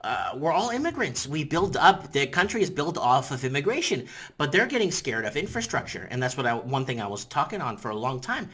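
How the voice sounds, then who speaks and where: A man's voice: mid-range voice, very animated, brisk, fairly bright tone, slightly rough. A middle-aged man whose first language is Portuguese, rambling through opinionated casual commentary in a vlog and often repeating himself. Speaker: vlogger.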